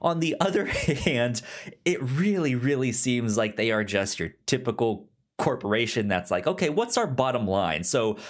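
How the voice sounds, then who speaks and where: A man in casual film discussion, stammering on syllables and often halting to rephrase as he goes. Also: medium pitch, sing-song. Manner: halting, rambling, circles back to rephrase. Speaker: film podcast host.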